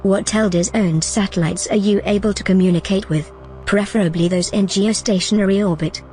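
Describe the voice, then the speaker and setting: A woman's voice: mid-range voice, fairly even intonation, brisk, dark tone, lightly acted. A woman playing a determined artificial intelligence in an audio drama, composed, persuasive and insistent. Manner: composed, insistent, matter-of-fact. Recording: music behind the voice.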